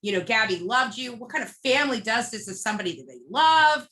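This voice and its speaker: Woman, bright forceful voice, casual storytelling, blunt, emphatic, interview guest. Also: on the high side, expressive, quick pace. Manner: emphatic, blunt, quotes dialogue as she narrates. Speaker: interview guest, street protester.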